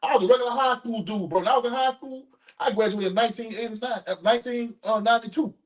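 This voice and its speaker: Loud, fast-talking man in his fifties. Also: very high-pitched. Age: 50s. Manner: preachy, repeats his points to drive them home. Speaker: podcast host. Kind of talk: casual podcast chat.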